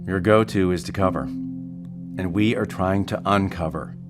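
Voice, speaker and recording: Deep-voiced, measured male therapist, played by an actor. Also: music in the background, close to an everyday voice. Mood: patient, firm. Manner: measured, clinical, reassuring. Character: calm, patient male therapist, measured and clinical. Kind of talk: naturalistic audio drama acting.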